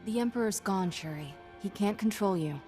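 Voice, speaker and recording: An actress playing a sassy, cocky young Jedi sidekick in a video game, with wry, clipped banter. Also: female voice, mid-range voice, moderate pace, a little mellow, big-room sound, faint music behind, natural voice. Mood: firm.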